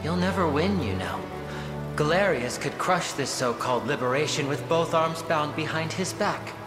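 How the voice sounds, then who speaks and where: A man's voice: on the high side, expressive, moderate pace, lightly acted. Guy doing a snooty bitter young wizard lord for a game, theatrical, echoey with music behind. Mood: sullen, defeated. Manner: haughty, theatrical, disdainful. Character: pompous, bitter young sorcerer lord craving his mother's praise. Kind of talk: video game cutscene acting.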